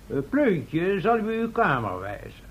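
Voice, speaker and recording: Medieval mayor: hospitable, courtly, insistent, animated delivery; vintage radio serial with faint noise. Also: male voice, sits high, measured pace, dull audio, heavily acted. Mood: eager hospitality. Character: hospitable, effusive mayor of a fifteenth-century town. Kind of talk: old Dutch radio drama acting.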